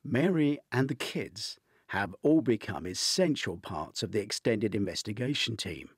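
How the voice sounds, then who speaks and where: Husky, expressive older male storyteller. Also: on the low side, no echo, clean studio sound. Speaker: author reading own novel. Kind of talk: audiobook-style thriller narration.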